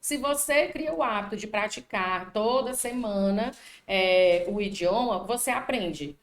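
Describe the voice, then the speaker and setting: Echoey woman teacher from Brazil. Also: unhurried. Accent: Piauí Brazilian Portuguese. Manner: talkative, frequent "né?" tags, animated pitch jumps. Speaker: language teacher. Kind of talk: casual interview answers. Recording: echoey room.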